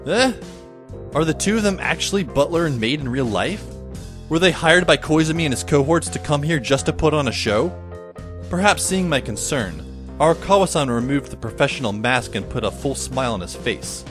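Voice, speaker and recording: A man playing a wry high school boy who narrates an audio drama in the first person, musing as he goes. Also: animated, moderate pace, music in the background, natural voice.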